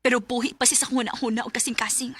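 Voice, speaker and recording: A young widow in a Filipino radio drama, conflicted about loving again. Her voice sits high and bright, with a Cebuano Filipino accent and earnest, heartfelt delivery. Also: level tone, fast talker, husky, no echo. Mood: guarded. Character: widowed young woman, torn by guilt over new love.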